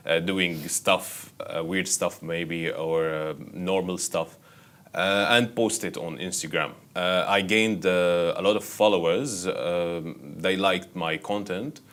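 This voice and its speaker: Deep-voiced, halting Syrian man. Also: steady tone, a bit bright. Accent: Syrian Arabic. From Syria, Damascus. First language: Arabic. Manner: halting, frequent pauses searching for words. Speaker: interview guest, actor and content creator. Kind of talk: casual interview answers.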